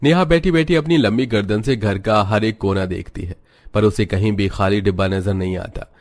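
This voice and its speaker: A man telling a scripted moral story as its narrator. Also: fairly low pitch.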